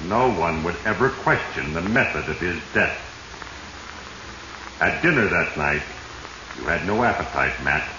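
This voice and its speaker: Sinister male Fate: ominous, deliberate radio narrator, low register, reverberant vintage sound. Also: lively intonation, highs cut off. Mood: menacing. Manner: ominous, deliberate, addresses his victim directly. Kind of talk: 1940s radio drama narration. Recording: echoey, noisy 1940s radio recording.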